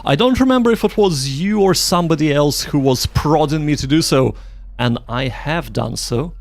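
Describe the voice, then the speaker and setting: Creaky-voiced man chatting on a livestream. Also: a little high, animated, normal speed, no echo. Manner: joking, repeats short phrases. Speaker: livestream host. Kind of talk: casual livestream chat, replying to viewers. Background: faint music.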